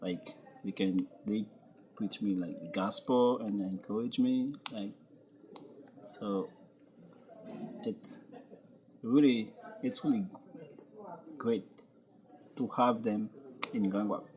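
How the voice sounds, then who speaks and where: A man with a Haitian accent, a technical school foreman, speaking slow, halting English and searching for words. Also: fairly low pitch, a little mellow, slight background noise. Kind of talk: halting testimonial in second language.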